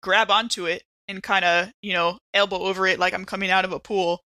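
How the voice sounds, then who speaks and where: Woman, bright forceful voice, mid pitch, dry recording, casual gaming talk. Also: level tone, brisk, no echo. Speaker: player on an actual play podcast. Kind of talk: casual tabletop gaming chat.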